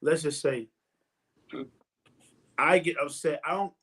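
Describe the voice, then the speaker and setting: A man's voice: on the high side, slow pace, a bit bright, husky. A man in his forties hosting a casual podcast chat, rambling and repeating words as he works toward his point. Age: 40s. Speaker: podcast host.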